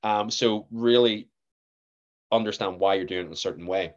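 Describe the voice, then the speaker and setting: A man in his twenties with a Northern Irish accent, an actor chatting as a podcast guest, lively and acting out what others said to him. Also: fairly low pitch, normal speed, dull audio. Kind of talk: casual interview chat.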